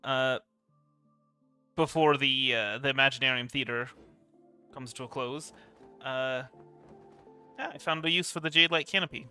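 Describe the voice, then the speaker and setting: A man's voice: mid-range voice, fairly even intonation, unhurried, pauses often, sharp tone, clear, resonant tone, soft background music. A man livestreaming a game with casual commentary, rambling and thinking aloud.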